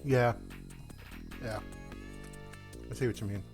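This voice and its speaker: A man with an ordinary mid-range voice plays through a video game and chats in a relaxed way. He mostly answers with short reactions. Game music sits clearly in the background. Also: mid-range voice, slow. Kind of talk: casual game commentary.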